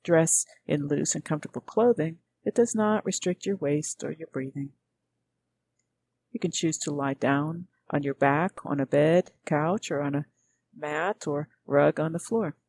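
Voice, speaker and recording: A woman guiding a meditation as a teacher, speaking gently in measured, unhurried phrases with pauses. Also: low voice, a little hiss or hum. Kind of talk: guided meditation narration.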